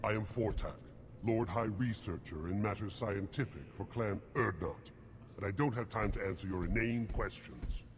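Brief: male actor as exasperated alien mad scientist, very low voice, haughty grumbling game dialogue, long reverb and noisy background. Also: normal speed, natural voice. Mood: pompous, exasperated. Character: pompous, violent alien reptilian mad scientist, easily exasperated. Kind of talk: video game character dialogue.

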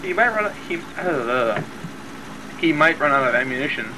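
A man's voice: a little high, animated, normal speed. A man chatting and telling stories casually while gaming, with a wry, mock-exasperated manner. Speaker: video game player. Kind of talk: casual gaming chat, storytelling. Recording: clear engine noise behind. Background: game vehicle engine noise.

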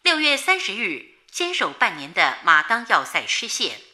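A woman's voice: medium pitch, huge pitch swings, moderate pace, bright, forceful tone, full character voice. An actress playing a solemn female news announcer reading a formal, declamatory wartime bulletin in a radio drama. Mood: grave, resolute.

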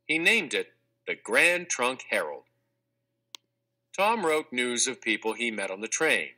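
Bright, lively male children's narrator. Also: medium pitch, measured pace. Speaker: audiobook narrator. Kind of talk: scripted read-aloud of a children's textbook.

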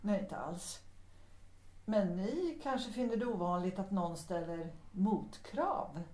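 A woman's voice: mid-range voice, a little mellow, lightly acted. A woman acting a proud, determined widowed mother in a Swedish audio drama, speaking in a firm, cool, formal way. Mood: cool, guarded. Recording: roomy, echoing sound.

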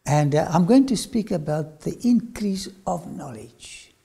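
An older man with an Afrikaans accent, a lecturer telling his own story to camera in a studio, swinging from loud phrases to whispers. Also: a little high, animated, unhurried, gravelly, slightly rough. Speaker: archaeology lecturer. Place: studio with dark backdrop.

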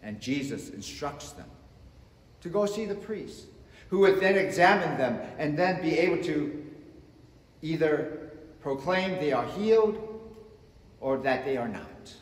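A man in his fifties preaching a sermon from the front of a church sanctuary, slow and emphatic, swinging between loud and soft. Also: a little high, animated. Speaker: pastor. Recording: echoey church with long reverb.

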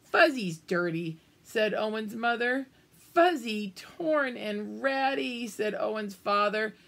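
A woman's voice: a little high, expressive, takes it slowly, creaky, a bit bright. An older woman reading a picture book aloud to young children, with exaggerated emphasis and friendly asides to her listeners. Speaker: children's storybook reader. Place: small room at home, indoors.

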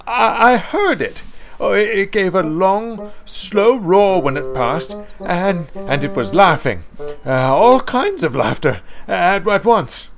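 A man's voice: mid-range voice, wildly swooping pitch, extremely slow, vocal fry, few pauses, fairly bright tone, faint music behind, heavily acted. A man voicing a nervous, easily frightened young steam engine in a children's story, stammering and quavering.

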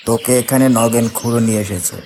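Man playing concerned village friend, medium pitch. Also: steady tone, fast, darkish tone, slight background noise, close to an everyday voice. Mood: explaining. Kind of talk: Bengali horror cartoon voice acting.

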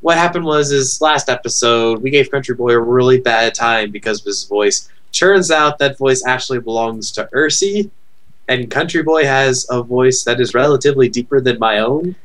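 A man's voice: mid-range voice, normal speed. Rambling male co-host on a close mic. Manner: rambling, apologetic, thinks out loud. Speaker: podcast co-host. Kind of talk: casual podcast chat. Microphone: close headset mic.

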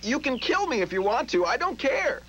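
A man voicing a lonely, self-loathing young duckling in an animated fairy tale, earnest and plaintive. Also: very high-pitched, moderate pace, muffled recording, slight background noise, exaggerated voice. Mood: forlorn.

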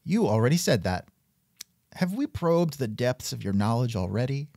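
A man's voice: medium pitch, big pitch swings, moderate pace, mellow, no room sound, studio quality. Sardonic, exasperated male host. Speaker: podcast host. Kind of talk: scripted comedic interview.

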